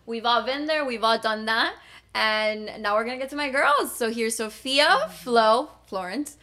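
Bright upbeat woman host. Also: a little high, expressive, measured pace. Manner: upbeat, laughs often, teasing. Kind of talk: casual chat.

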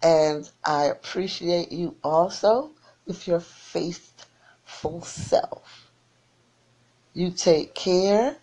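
A tired woman who is losing her voice, rambling through friendly shout-outs to listeners on her small internet radio station. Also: fairly low pitch, drawn-out words. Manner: rambling and warm, repeats her sign-off.

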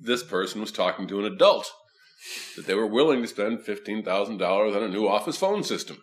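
A man with a naturally deep, low voice in easygoing conversation. He tells rambling, self-deprecating stories and often stammers and restarts his sentences. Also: expressive, brisk. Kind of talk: casual conversation.

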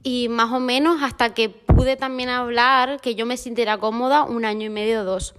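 Invitada de pódcast, acento español peninsular, charla animada, anécdotas con risas. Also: female voice, on the high side, steady tone, moderate pace, fairly bright tone, clean tone. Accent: Spain Spanish. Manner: chatty, laughing storyteller. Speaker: podcast guest. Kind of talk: casual conversation.

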